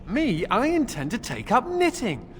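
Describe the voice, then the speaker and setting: A man's voice: very high-pitched, huge pitch swings, measured pace, a bit bright, full character voice. A man playing a flippant, self-deprecating mage in a video game, talking in a wry, joking way. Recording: very echoey hall, background noise.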